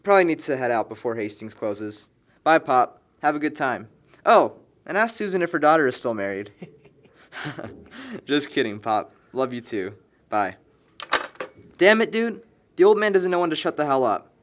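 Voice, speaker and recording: A man's voice: a little high, very animated, normal speed, pauses often, a bit bright. An actor playing a sarcastic, exasperated young man planning a house party in a film drama, talking in wordy, slangy wisecracks. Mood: breezy, teasing. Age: young adult. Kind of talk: naturalistic amateur film dialogue.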